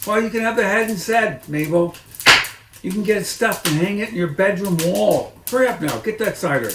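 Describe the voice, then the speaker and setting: A man voicing a bossy, callous woman in a storybook, calling out loudly and impatiently. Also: sits high, animated, a little fast, voice pushed up. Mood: brisk, callous. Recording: echoey room, noisy.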